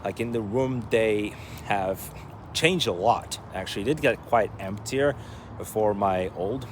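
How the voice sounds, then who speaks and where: A man with a fairly low voice records a casual vlog. He rambles along in a joking way, often talking to himself. There is some light ambient noise, like traffic, behind him. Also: a little slow. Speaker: vlogger.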